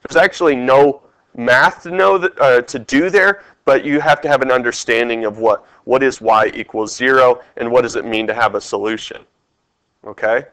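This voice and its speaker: Congested male teacher, mid pitch, dry close mic, quizzes the class on each step. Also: moderate pace, fairly bright tone, no room sound. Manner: talks through steps, quizzing the class. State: stuffed up, congested. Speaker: math teacher. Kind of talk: test review lesson over screen capture.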